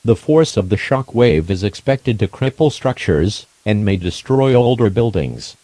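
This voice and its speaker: Man, emergency broadcast announcer, dark soft-edged tone, measured, impersonal, scripted, very dry close sound. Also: fairly low pitch, dry recording.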